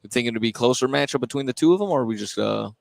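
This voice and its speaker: A man doing live play-by-play basketball commentary, a little halting, often repeating and correcting himself. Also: mid-range voice, lively intonation, no echo. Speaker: play-by-play announcer. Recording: clear gym ambience, bouncing basketballs. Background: basketball game in a gym.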